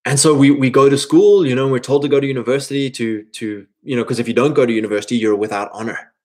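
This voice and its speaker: A man's voice: mid-range voice, fairly even intonation, fast, a little mellow. A thirty-year-old man giving an informal, rambling motivational monologue, slipping into imagined quotes as he advises other men. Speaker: speaker giving advice to men.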